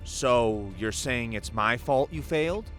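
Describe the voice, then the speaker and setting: A man's voice: mid-range voice, lively intonation, fairly bright tone, faint music behind. An actor playing a menacing male crime boss in an audio drama, taunting and falsely friendly as he toys with his prey. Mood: mocking menace. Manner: taunting, toying, drawn-out threats.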